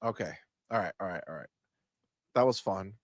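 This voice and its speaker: A stuffy-nosed man co-hosting a gaming stream, his pitch jumping all over as he jokes and swears. He sounds close to the mic in a dry room with no echo. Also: moderate pace. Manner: animated, swears freely, bursts of laughter. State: stuffy nose. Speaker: gaming podcast co-host. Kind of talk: casual live-stream chat.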